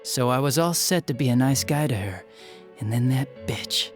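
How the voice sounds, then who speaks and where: An actor playing a smug, spiteful young detective villain in a video game, with a sneering, flippant and theatrical manner. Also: male voice, medium pitch, lively intonation, moderate pace, music in the background, close to an everyday voice. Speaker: police detective. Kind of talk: dramatic video game voice acting.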